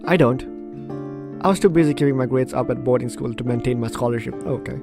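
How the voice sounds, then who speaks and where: A man's voice: mid-range voice, quick pace, creaky. A man doing playthrough commentary, reading game text dramatically and breaking off into reactive asides. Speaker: gaming YouTuber. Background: game music.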